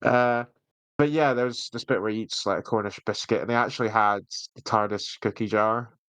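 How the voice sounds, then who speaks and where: A man's voice: lowish voice, steady tone, fairly quick, a bit bright, smooth, no room sound. A man co-hosting a podcast, giving reviews in a rambling, jokey, casual way. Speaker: podcast co-host.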